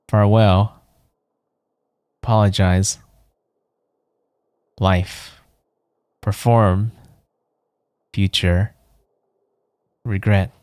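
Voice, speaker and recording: A man's voice: lowish voice, a little mellow, smooth, dry recording, clean studio sound. A man reading as a weary, dying woman diarist. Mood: halting, fading. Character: dying, weary woman writing a despairing diary.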